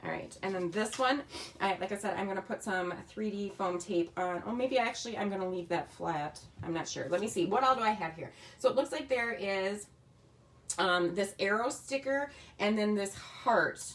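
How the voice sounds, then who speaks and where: A woman with a slightly raspy voice, chatting casually as she demonstrates a scrapbooking kit in her home craft room. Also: mid-range voice, moderate pace. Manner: chatty, talks while working with her hands.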